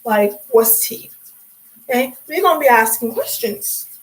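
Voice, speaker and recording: Young woman, hoarse, raspy, sassy livestream chatter, a bit high-pitched. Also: big pitch swings, measured pace, poor audio. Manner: sassy, chatty, calls viewers sweetie and honey. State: hoarse from talking for hours. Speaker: livestream host. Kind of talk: casual livestream chat.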